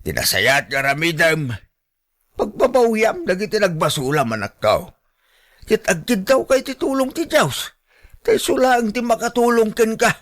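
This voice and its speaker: A man's voice: high voice, sing-song, moderate pace, a bit bright, heavily acted. A man playing a stern but caring, devout father in an Ilocano radio drama, admonishing emphatically with an Ilocano accent.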